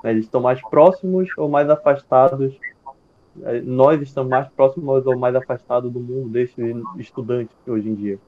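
A man's voice: medium pitch, normal speed. A man in his thirties interviewing a professor over a video call through an earphone mic, hesitant and rephrasing his questions as he goes. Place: room at home indoors. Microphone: earphone headset mic on video call. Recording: tinny phone-like call audio, faint hiss.

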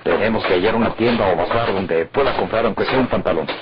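Man acting a low, cold Mexican criminal. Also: lightly acted. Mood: calculating. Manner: terse, commanding, deliberate. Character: cold, controlling male murderer on the run. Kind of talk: old Mexican radionovela acting. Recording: noisy, muffled vintage radio recording.

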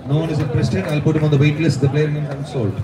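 A young man with a Tamil accent calling player bids as auctioneer at a rooftop event at night, heard through loudspeakers from a distance. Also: fairly high pitch, little pitch movement. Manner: rapid rhythmic bid calling, switching to asides. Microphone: handheld mic through speakers, filmed from far. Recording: echoey PA, distant, crowd noise. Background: crowd chatter.

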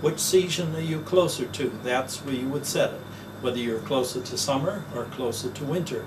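A man's voice: medium pitch, moderate pace. Older salesman, distant mic, fan hum. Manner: plain folksy sales talk with filler "uh"s. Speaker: business owner pitching his product. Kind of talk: promotional demonstration. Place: office, then a basement demo wall indoors.

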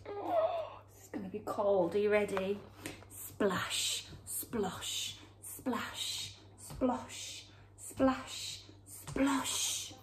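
A woman in her thirties reading a picture book aloud to young children in an expressive, theatrical way, drawing out words and dropping into hushed tones. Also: medium pitch, drawn-out words, whispered. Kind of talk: animated children's storytelling. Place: small room at home, indoors.

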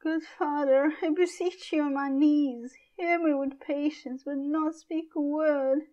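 A woman's voice: high-pitched, steady tone, a little slow, dark tone, dull audio, pitched above the performer's own voice. A woman playing a distressed young daughter in a stage tragedy, pleading in archaic English.

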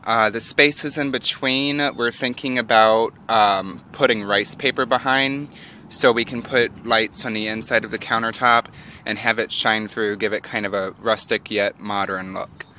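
A man's voice: lowish voice, unhurried, slight background noise. A man filming a do-it-yourself cabin build and talking casually, with plenty of "uh" and "um" fillers. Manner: relaxed, lots of uh and um fillers. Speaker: vlogger filming a DIY build. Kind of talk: casual home video narration. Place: backyard, then inside a small wooden cabin.

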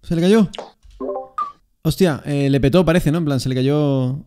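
A man's voice: a little high, a little slow, mellow, faint music behind. A young man hosting a livestreamed dating show from his streaming room, teasing and chatty. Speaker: host. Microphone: studio mic on arm.

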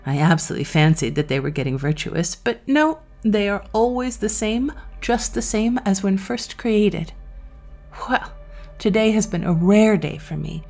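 A sardonic Satan-like tempter acted out by a woman in a gothic storybook reading. The speech is courtly and archaic, with drawn-out, mocking phrases. The fiend sounds pleased with himself and falsely friendly, in a voice raised above the narration. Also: medium pitch, animated, a little mellow, soft background music, pitched above the performer's own voice. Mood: sardonic, amused.

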